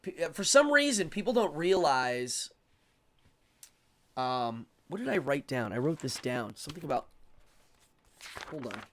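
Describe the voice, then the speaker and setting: A man hosting a live stream, talking casually and opinionatedly as he answers chat, rambling and repeating words in quick bursts. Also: a little high, very animated, fairly bright tone. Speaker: live-stream show host.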